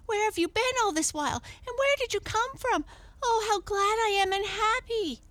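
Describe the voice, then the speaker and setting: A woman's voice: sits high, animated, moderate pace, vocal fry, fairly bright tone, dry recording, voice pushed up, full character voice. A woman voicing an eager, friendly young boy in a children's storybook, full of earnest questions and exclamations. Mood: happy surprise. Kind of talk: children's storybook character reading.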